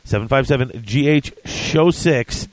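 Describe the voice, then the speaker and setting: A man's voice: mid-range voice, unhurried, no room sound, low-quality recording. A man hosting a casual podcast, congested and breathing hard, chatty and self-deprecating with many fillers. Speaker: podcast host.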